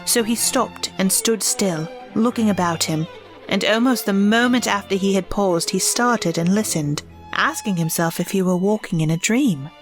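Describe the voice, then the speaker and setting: A woman narrating an audio drama in a measured, expressive way. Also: mid-range voice, faint music behind.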